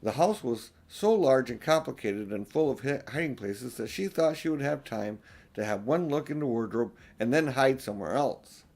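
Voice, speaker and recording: A man's voice: medium pitch, expressive, normal speed, a little mellow. An older man narrating a children's storybook expressively as an audiobook reader.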